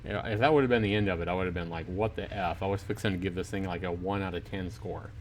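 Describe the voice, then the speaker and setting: A man with a lowish voice hosts a casual gaming livestream. He moves between reading story text aloud and commenting on it in an informal, joking way, talking directly to his chat. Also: fairly even intonation, quick pace, a little hiss or hum. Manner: informal, joking, chatty with viewers. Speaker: livestreaming gamer reading a visual novel to chat.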